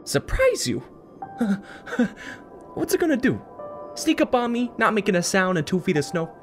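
A man's voice: high-pitched, sing-song, measured pace, over music. A man voicing a sardonic, impatient New York City detective in an audio drama, blunt and skeptical. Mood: confrontational, sarcastic.

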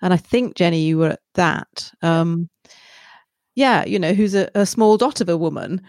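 A woman's voice: fairly low pitch, moderate pace, a little mellow, no room sound. Reflective woman in a dry recording. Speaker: podcast host. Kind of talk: conversational interview.

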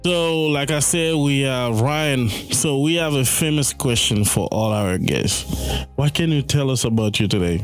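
Podcast host man, faint music behind. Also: medium pitch. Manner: halting questions, frequent affirmations. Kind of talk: podcast interview.

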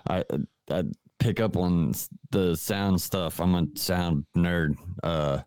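Smooth-voiced, profane comedian from West Virginia. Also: male voice, sits low, slow, darkish tone, no echo. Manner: loose, profane, joking. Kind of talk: casual podcast chat.

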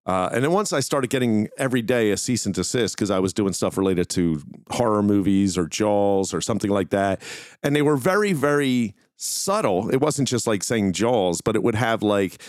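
A man's voice: lowish voice, animated, moderate pace, darkish tone, dry recording, studio quality. A man hosting a podcast, talking casually and informally to his listeners in a rambling, conversational way. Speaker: podcast host. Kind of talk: casual solo talk to listeners.

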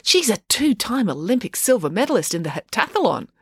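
A woman voicing an excited teenage girl who gushes with admiration in an audiobook. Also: mid-range voice, fast, husky, no echo, clean studio sound, pitched above the performer's own voice. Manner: gushing, excitable.

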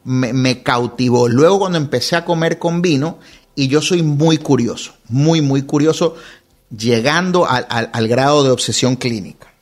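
A man in his forties from Ecuador, chatting as an interview guest, telling stories in an animated way and laughing often. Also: medium pitch, moderate pace. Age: 40s. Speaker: podcast guest, wine content creator. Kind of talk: casual interview storytelling.

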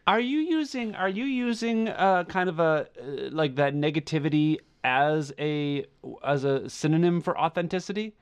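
A man's voice: a little high, moderate pace, hardly any pauses, smooth. Tentative man co-hosting a casual podcast. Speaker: podcast co-host.

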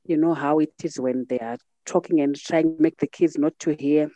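A middle-aged woman with a Zimbabwean accent shares personal memories as an interview guest. Her voice is soft-edged and mellow, and the recording is close and dry. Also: sits low, fairly even intonation, moderate pace, no echo, lo-fi sound. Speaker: podcast guest. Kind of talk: reflective personal storytelling in an interview.

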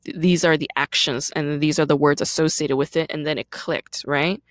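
A woman in her thirties hosts a podcast, her voice pitched low and her delivery candid and emphatic. She often stops to ask "right?" as she speaks alone into the mic. The sound is dry and close. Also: steady tone, moderate pace, no room sound. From South Korea, raised in Brooklyn. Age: 30s. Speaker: podcast host. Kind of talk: personal monologue.

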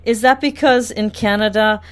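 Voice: medium pitch, fairly even intonation, normal speed, a bit bright, smooth. A podcast host interviewing a guest with curious, attentive questions.

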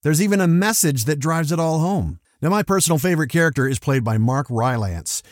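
A man delivers scripted podcast commentary in a highly animated voice that sits a bit high. His delivery is playful and theatrical, with punchy emphasis. The sound is very dry, with no room around it. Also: fairly quick, dry recording. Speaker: podcast host.

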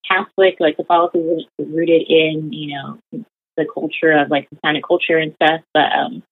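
Low-voiced young woman on a tinny line. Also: level tone, normal speed. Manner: chatty, many fillers like "like" and "you know". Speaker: interviewee. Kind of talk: casual conversational storytelling.

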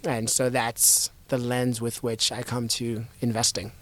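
A man in his thirties from the United States, an investor guest talking reflectively in an interview, in a measured way with repeated starts. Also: mid-range voice, completely flat delivery, a bit bright. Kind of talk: conversational interview.

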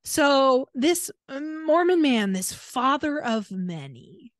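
A woman's voice: high voice, sing-song, slow, dry recording, clean studio sound. An American woman co-hosting a casual podcast, speaking in a wry, emphatic, opinionated manner. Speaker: podcast co-host.

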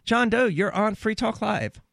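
A man's voice: sits high, expressive, a little slow, vocal fry, a bit bright, no echo, clean studio sound. A man hosting a call-in talk radio show, blunt and opinionated with a sarcastic edge.

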